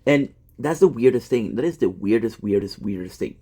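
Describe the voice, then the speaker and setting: A man's voice: medium pitch, expressive, moderate pace, mellow, husky. A man in his thirties, a gaming content creator, talking in a rambling, self-deprecating monologue full of fillers and restarts. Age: 30s.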